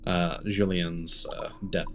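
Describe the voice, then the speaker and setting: A man's voice: deep voice, little pitch movement, takes it slowly, slight background noise. A man casually commentating on his video game livestream in a dry, mildly sardonic way. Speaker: video game live streamer.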